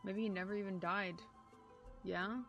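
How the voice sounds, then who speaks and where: A woman's voice: medium pitch, steady tone, moderate pace, lo-fi sound, soft background music. A young woman live streaming a playthrough, reading game text aloud and reacting in a casual, sweary way.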